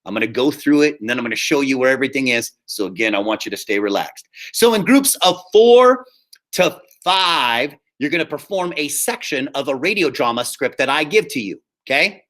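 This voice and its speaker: A man speaking as a high school drama teacher, explaining things informally and often checking in with his students. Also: lively intonation, fairly quick, fairly bright tone. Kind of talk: informal classroom instruction.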